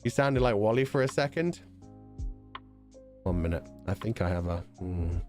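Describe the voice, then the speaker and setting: A man's voice: lowish voice, lively intonation, normal speed, darkish tone, faint music behind. A man livestreaming and chatting casually with viewers while reading their donations, talking through a sore, unwell throat in an easygoing way, laughing often and swearing casually.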